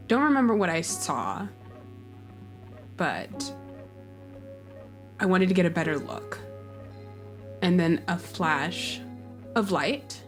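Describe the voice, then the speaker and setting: A woman voicing an ancient, wistful being in a roleplay, slowly and haltingly recalling its past in a hushed voice. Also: fairly low pitch.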